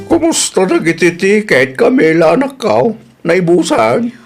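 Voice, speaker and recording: An elderly father acted by a man with a somewhat high voice for a man. He is caring and concerned, talking in the manner of an Ilocano radio drama. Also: sing-song, unhurried, soft background music.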